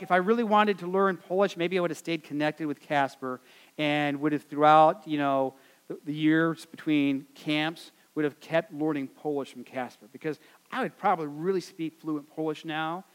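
American male pastor, high voice, earnest sermon delivery, echoing church hall. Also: fairly bright tone, clean tone. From United States. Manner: earnest, repetitive emphasis, many fillers.

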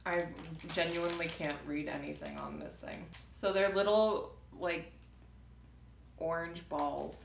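A woman's voice: on the low side, steady tone, vocal fry, slight background noise. A woman co-hosting a podcast, chatting casually and giggling as she tastes snacks with a friend. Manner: chatty, giggly, quick asides. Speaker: podcast co-host. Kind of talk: casual snack-tasting chat. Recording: roomy echo.

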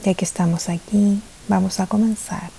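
A woman leading a guided meditation, soft-spoken and soothing, with gentle pauses and a tendency to drift toward a breathy near-whisper. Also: medium pitch, fairly even intonation, poor audio. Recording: faint hiss behind the voice.

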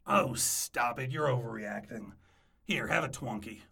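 A woman playing a defensive, penny-pinching parent, raspy and argumentative, in a comic audio drama. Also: extremely low pitch, measured pace, full character voice. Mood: indignant. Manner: excitable, argumentative. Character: defensive, penny-pinching snack-bringing parent at a ball field. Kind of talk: audio drama comedy acting.

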